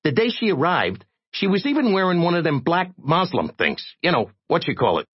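Squeaky, frantic little reporter. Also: male voice, very animated, brisk, husky, lo-fi sound. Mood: gossipy. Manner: streetwise slangy American talk. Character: tiny, eight-inch man, a frantic, streetwise crusading reporter.

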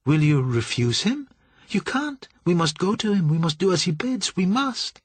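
Audiobook vampire woman, played by a male narrator: raised pitch, breathy, fearful, submissive pleading. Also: very animated, moderate pace, no room sound, full character voice. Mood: urgent pleading. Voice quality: breathy, near whisper. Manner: urgent, trembling pleading. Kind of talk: audiobook character acting.

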